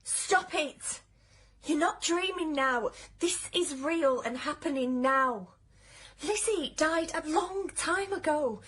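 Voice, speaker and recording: Worried, insistent female friend, very high-pitched, firm and caring, radio drama. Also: takes it slowly, fairly bright tone. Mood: concerned, firm. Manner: urgent, concerned, insistent.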